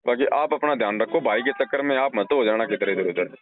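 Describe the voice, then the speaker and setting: A man's voice: medium pitch, brisk, a crowd in the background. A man giving earnest, explanatory religious advice to a devotee, using everyday analogies. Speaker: religious counsellor advising a devotee. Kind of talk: devotional advice on a phone call. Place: phone call. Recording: narrow, tinny phone-line recording.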